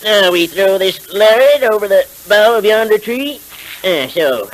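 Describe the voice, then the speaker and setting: An actor playing a coldly self-righteous masked rider in a 1930s radio western serial, with formal, taunting speech on a hissy old recording. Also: male voice, high voice, animated, measured pace, low-quality recording. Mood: menacing, mocking.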